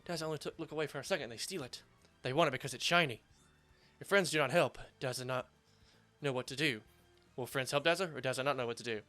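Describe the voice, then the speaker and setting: A hoarse man reading out a small, fretful male creature merchant who speaks in broken, childlike phrases and calls himself by name. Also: medium pitch, fast talker, pushed and bright, soft background music, close to an everyday voice. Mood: fretful pleading. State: hoarse after surgery.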